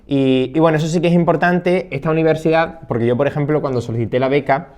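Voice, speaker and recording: A man's voice: on the high side, fast, a little mellow. A young man in his twenties, a student of interpreting, chatting casually and hesitantly with many fillers and restarts. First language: Spanish. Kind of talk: casual conversational chat.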